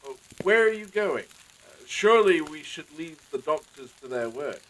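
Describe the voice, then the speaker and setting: A man's voice: fairly high pitch, sing-song, normal speed, fairly bright tone, a little hiss or hum, low-quality recording. An actor playing an anxious, courteous village husband and father in a period audio drama, with hesitant, formal old-fashioned phrasing. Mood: worried.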